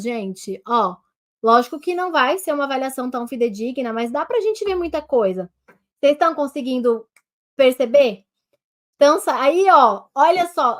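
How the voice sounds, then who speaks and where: A woman doctor with a Brazilian Portuguese accent teaching telemedicine over a webcam, nasal and congested from a cold, talking to her viewers in a lively, direct way. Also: high voice. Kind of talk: online medical lesson, demonstrating on herself.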